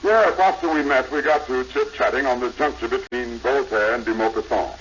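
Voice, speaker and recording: Man playing a pompous, genteel literary gentleman. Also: mid-range voice, brisk, faint music behind, lightly acted. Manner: affected, erudite, genteel. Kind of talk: 1950s radio sitcom acting. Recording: dull vintage radio, faint noise.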